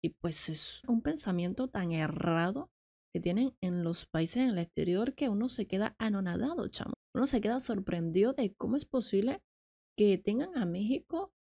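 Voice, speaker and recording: A woman's voice: mid-range voice, animated, normal speed, dark tone. Woman reacting on stream. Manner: chatty, adds asides. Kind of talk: casual reaction commentary. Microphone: headset mic.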